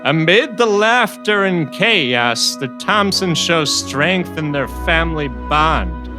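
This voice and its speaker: A male narrator reads a playful scripted promo. His delivery is theatrical and slow, with lively swings in pitch. Music plays clearly behind him. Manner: theatrical, whimsical trailer delivery.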